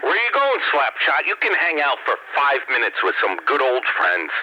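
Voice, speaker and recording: A man with a very bright, forceful voice and animated pitch trades teasing banter over CB radio. The signal is dull and slightly noisy. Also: normal speed. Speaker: CB radio club regular. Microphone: CB radio microphone. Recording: noisy band-limited CB radio transmission.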